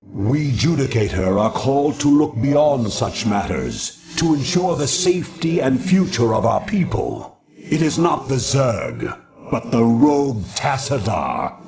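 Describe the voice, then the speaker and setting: A man's voice: medium pitch, sing-song, a little slow. A man voicing a stern, haughty alien judicator in a video game, speaking in formal, pompous declamation. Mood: solemn.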